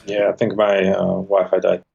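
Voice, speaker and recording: A man's voice: low-pitched, monotone, normal speed, creaky, darkish tone, husky. A man answering briefly and offhandedly on a video call. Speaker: client on a call. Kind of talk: casual call chat. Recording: dull lo-fi video call audio.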